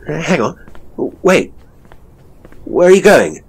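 A man playing a hapless, theatrical ghost tour guide in a horror audio drama, British, uneasy and hesitant, with dramatic pauses. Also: on the high side, big pitch swings, slightly rough, heavily acted. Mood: alarmed, spooked. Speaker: horror storyteller and voice actor. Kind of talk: dramatic first-person audio drama monologue.

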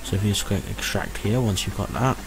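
A man's voice: lowish voice, brisk, gravelly. Man explaining, music underneath. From United Kingdom. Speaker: tech tutorial host. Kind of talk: casual step-by-step screen tutorial.

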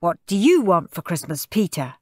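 Kindly Santa for a children's story, played by a woman: lively, swooping intonation, close dry sound. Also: lowish voice, normal speed, no echo. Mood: inquiring. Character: kindly department-store Santa, questioning children in turn.

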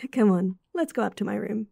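A woman with an Australian accent playing a caring, teasing young student best friend in a roleplay audio, soft and breathy. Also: medium pitch, no room sound, studio quality, exaggerated voice. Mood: teasing, welcoming. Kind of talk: roleplay audio acting, intimate and softly spoken.

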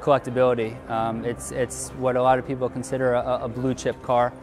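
A man in his thirties, an auction car specialist, explaining in an interview in a halting, measured way. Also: mid-range voice, monotone, a crowd in the background. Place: large tent with cars on display.

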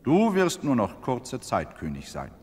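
Solemn prophet, admonishing, widely swinging intonation, reverberant hall, radio drama. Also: male voice, mid-range voice, huge pitch swings, a little slow, a little mellow. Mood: stern. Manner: grave, admonishing. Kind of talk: radio play acting.

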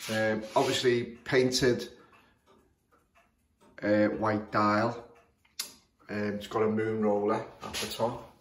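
A man with a broad Liverpool accent and a lowish voice talks through a grandfather clock in a casual, halting way, often stopping to restart his sentences. He sounds like he is filming on a phone in a room full of clocks. The room is echoey, with a faint hiss behind him. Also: little pitch movement. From Liverpool, England. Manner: hesitant, many fillers and restarts. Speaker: clock repairer. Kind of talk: casual show-and-tell commentary.

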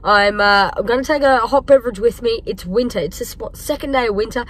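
A croaky-voiced Australian woman talks to her followers from the driver's seat, with a fairly high voice. She is chatty and upbeat and fills her talk with ums and uhs. It sounds like a phone held at arm's length in a parked car. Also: fairly quick, a bit bright. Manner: chatty, upbeat, many fillers. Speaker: vlogger. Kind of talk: casual vlog talk to camera.

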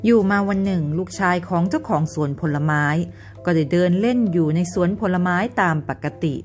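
A woman, a mother and storyteller, narrating a bedtime story for children in a gentle manner. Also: fairly low pitch, brisk, faint music behind. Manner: gentle, sing-song with children.

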